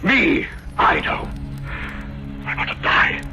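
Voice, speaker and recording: An actor playing a proud, jealous, murderous husband in a theatrical 1970s radio drama style. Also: male voice, takes it slowly, sharp tone. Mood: anguished rage. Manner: theatrical, emphatic delivery. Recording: vintage radio drama with music.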